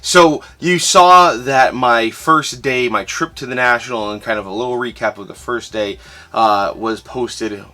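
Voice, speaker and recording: A man's voice: medium pitch, measured pace, bright, forceful tone. A man hosting his own collecting channel, talking casually through a recap while his voice is tired and fading. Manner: enthusiastic, chatty with frequent "uh" fillers. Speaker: host of a sports card collecting channel.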